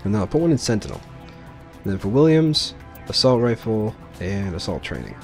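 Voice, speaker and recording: A man's voice: lowish voice, wildly swooping pitch, a little fast, faint music behind. A man giving casual, informal let's play commentary, explaining his choices as he plays. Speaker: gaming video host.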